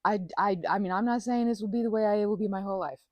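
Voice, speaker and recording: A woman's voice: mid-range voice, steady tone, fast, a little mellow, no echo, highs cut off. A woman in a casual ASMR roleplay, chatting informally as a best friend and often interrupting herself mid-thought. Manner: rambling, self-interrupting, informal and conversational.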